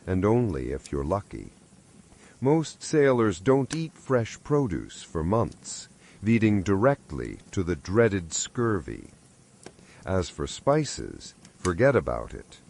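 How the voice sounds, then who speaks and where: Male storyteller, low register, very animated intonation, wry scripted narration. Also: gravelly. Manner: wry, sardonic second-person storytelling. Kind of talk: scripted bedtime history narration.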